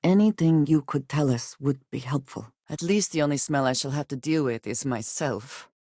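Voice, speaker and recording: An actress playing a guarded, solemn woman companion in a space adventure video game, speaking in formal, measured phrases. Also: sits low, warm tone, no room sound, clean studio sound. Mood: serious, measured. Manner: formal, measured speech without contractions. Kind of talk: scripted video game voice acting.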